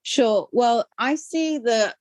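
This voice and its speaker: A woman's voice: fairly high pitch, slow pace, darkish tone, dry recording. An older woman from Sudan, raised in London, speaking as a podcast guest in a reflective, self-deprecating way with frequent ums and restarts. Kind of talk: conversational interview.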